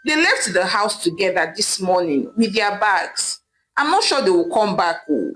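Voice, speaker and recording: A woman's voice: medium pitch, big pitch swings, moderate pace, a bit bright, poor audio. A woman voicing a nervous, stammering housemaid with a Nigerian accent in a told story. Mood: nervous, hesitant. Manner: hesitant, stammering. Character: nervous housemaid, fidgeting and stammering before her boss. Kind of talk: storytelling character voice.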